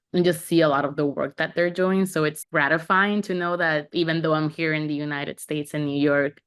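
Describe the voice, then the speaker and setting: A young woman from Puerto Rico, a podcast guest who talks in an upbeat way and laughs often. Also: deep voice. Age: young adult. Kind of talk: podcast interview.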